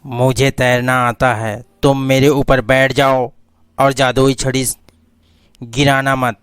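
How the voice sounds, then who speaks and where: A man's voice: mid-range voice, little pitch movement, slow, fairly bright tone, clean tone, no echo, clean studio sound. A man voicing a scheming talking dog in a cartoon story, speaking in a plain, bossy way.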